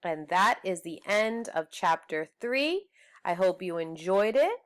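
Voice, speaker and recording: Slow-paced woman reading a storybook aloud. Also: fairly low pitch, lively intonation. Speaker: teacher reading aloud to pupils. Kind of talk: storybook read-aloud narration.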